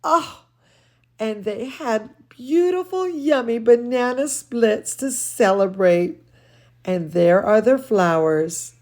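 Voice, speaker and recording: A woman reading a bedtime storybook aloud to children, expressive and cooing, speaking as if to very young listeners. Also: on the high side, takes it slowly. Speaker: children's storyteller, dance studio teacher.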